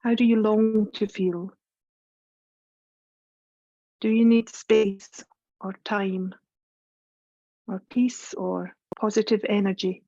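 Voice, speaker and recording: A woman leading a guided meditation as a yoga teacher, speaking in a soothing, unhurried, instructive way. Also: mid-range voice, little pitch movement, warm tone, dry recording, muffled recording. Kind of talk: guided meditation, scripted narration.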